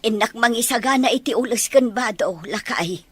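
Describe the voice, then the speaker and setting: Babae nga agak-akem iti paraw a lola. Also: female voice, on the high side, moderate pace, a bit bright, slightly rough, no echo. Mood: concerned, resigned. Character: older grandmother, steadfast, concerned, practical wife. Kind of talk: Ilocano audio drama acting.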